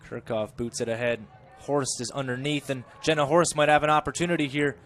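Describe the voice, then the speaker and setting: A man giving chatty live play-by-play of a college soccer match, correcting himself and rising in pitch and volume when the play gets dangerous. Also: fairly even intonation, a little fast, slight background noise. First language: English. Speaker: play-by-play commentator.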